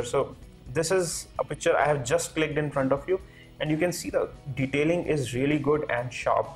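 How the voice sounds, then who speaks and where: A man giving a product review as a tech reviewer, explaining things step by step. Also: a little high.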